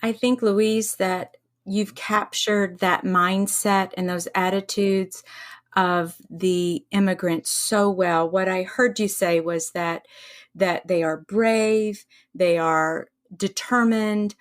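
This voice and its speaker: A woman hosting a podcast who interviews her guest in a measured way, summing up what he said and asking questions. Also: mid-range voice, steady tone. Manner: measured, summarizing, affirming. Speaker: podcast host.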